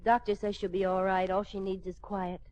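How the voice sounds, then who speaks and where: An actress playing a wry, scheming young wife in a 1940s radio comedy. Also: female voice, mid-range voice, flat delivery, normal speed, muffled recording, natural voice. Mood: confiding. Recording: noisy old radio, loud studio audience.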